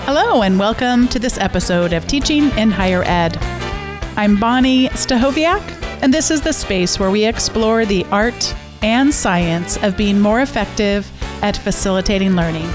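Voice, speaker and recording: A woman hosts a podcast at a middle pitch, with faint music underneath. She speaks in a friendly, conversational way as she introduces her guest and asks questions. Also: expressive, moderate pace. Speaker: podcast host. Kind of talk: podcast interview.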